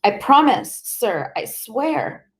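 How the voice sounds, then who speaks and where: A woman's voice: lively intonation, measured pace, a little mellow, natural voice. A woman voicing a terrified street boy begging and sobbing through a police interrogation. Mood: pleading. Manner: desperate, shrill, sobbing.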